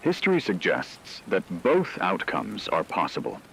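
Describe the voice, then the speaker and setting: A man playing a grave, analytical news anchor in an apocalypse radio drama, speaking in a measured, formal way. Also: sits low, huge pitch swings, gravelly, fairly bright tone, slightly rough, exaggerated voice, rough recording. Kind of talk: scripted radio news commentary.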